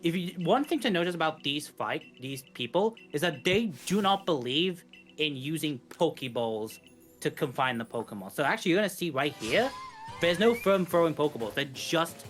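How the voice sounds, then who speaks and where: A man's voice: on the high side, expressive, moderate pace, fairly bright tone. A man giving live, explanatory speedrun commentary, rambling a little and rephrasing as he goes. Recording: game music behind the voice. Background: video game music.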